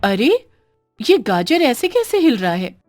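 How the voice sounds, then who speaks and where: Woman acting a high, expressive poor village mother. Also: unhurried, no echo, soft background music. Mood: astonished. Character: poor, sorrowful, tender village mother of five daughters. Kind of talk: animated moral story acting.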